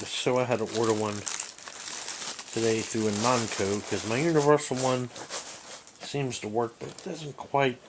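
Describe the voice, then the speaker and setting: A man with a fairly low voice talks casually through an unscripted hobbyist review. He rambles and hesitates, searching for his words. The recording is homemade, made in a small room with slight noise behind him. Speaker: amateur radio hobbyist reviewing gear. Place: small bedroom indoors.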